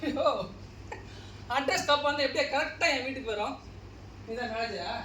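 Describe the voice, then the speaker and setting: A man's voice: extremely high pitch, moderate pace, pushed and bright. A man acting in a comic stage play as a pompous, nitpicking householder, scolding and exasperated. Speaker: stage actor. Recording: distant mics, echoey hall, noisy.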